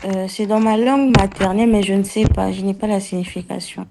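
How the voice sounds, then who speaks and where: A woman's voice: mid-range voice, fairly even intonation, a little fast, gravelly, a little mellow, slight background noise. A woman taking part in a prayer meeting, giving informal, slightly hesitant answers to the leader.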